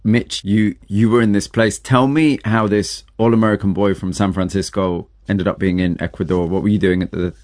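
A man's voice: lowish voice, fairly even intonation, moderate pace, few pauses, a little mellow, no room sound, clean studio sound. A man hosting an interview, moving between scripted storytelling narration and questions to his guests.

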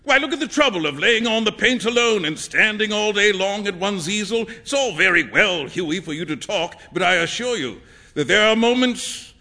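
A man's voice: high-pitched, pushed and bright, pitched above the performer's own voice, full character voice. A man voicing a flamboyant, opinionated painter in a storybook, with theatrical, emphatic and pompous delivery. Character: flamboyant male painter, grandly opinionated, excitable and teasing. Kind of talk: acted storybook dialogue.

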